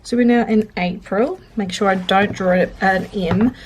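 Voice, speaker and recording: A woman's voice: medium pitch, steady tone, measured pace. A woman with a mid-range voice who sounds a little stuffy and congested talks casually while she journals. She rambles, breaks off mid-thought and corrects herself. Faint hiss and small clicks sit behind her voice. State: congested, sniffly. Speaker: journaling vlogger. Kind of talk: chatty real-time journaling commentary. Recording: faint clicking and background noise.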